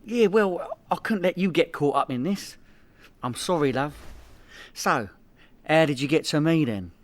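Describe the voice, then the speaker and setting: Comedy radio play: hapless London small-time crook and dreamer played by a man, higher-pitched male voice. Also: lively intonation, a little slow. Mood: wry. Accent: London English. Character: hapless petty criminal husband and dad, lying dreamer.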